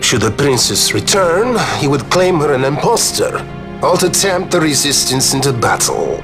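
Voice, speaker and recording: A man's voice: on the high side, big pitch swings, moderate pace, music in the background. An actor playing an eloquent nobleman in a video game, speaking in a formal, articulate and theatrical manner. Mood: grave, wry. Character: eloquent adult nobleman giving a grave war briefing. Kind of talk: dramatic video game voice acting.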